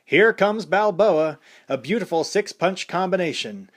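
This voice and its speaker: A man voicing an excited male fight announcer calling a boxing match play by play, raised high and loud above his own voice. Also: a little slow. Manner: breathless play-by-play.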